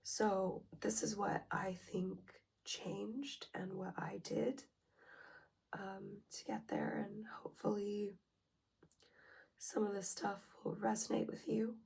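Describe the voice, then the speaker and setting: A young woman with a hoarse, breathy voice from a cold, her words often slipping into a whisper. She talks slowly with frequent pauses and ums, and a gravelly fry sits under her tone as she shares a personal self-help vlog. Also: mid-range voice, level tone. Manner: slow, hesitant, with many ums and soft whispery breaths. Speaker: relationship vlogger.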